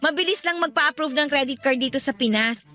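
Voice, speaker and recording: Radio drama role: a coaxing, animated shopaholic pal, high and bright, over soft music. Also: female voice, a little fast. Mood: persuasive. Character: lively shopaholic female friend, cheerfully persuasive.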